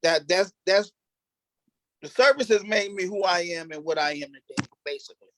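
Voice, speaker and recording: A man's voice: high-pitched, normal speed, a bit bright, dry recording, low-quality recording. A middle-aged man casually reminiscing in an interview, earnest and repeating himself for emphasis. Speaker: cast member being interviewed, military veteran.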